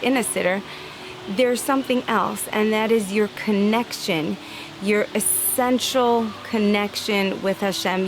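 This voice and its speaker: A woman's voice: medium pitch, background noise. Earnest woman giving a spiritual talk. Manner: earnest, animated, acts out inner voices; laughs lightly now and then. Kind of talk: inspirational religious talk.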